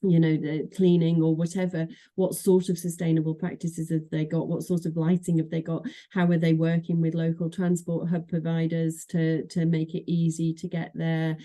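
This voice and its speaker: A woman's voice: low voice, flat delivery, moderate pace, few pauses, warm tone. A middle-aged woman from Manchester with a Mancunian accent, a guest in a podcast interview, talking chattily with many fillers and restarts. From Manchester, England. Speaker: association executive director, guest.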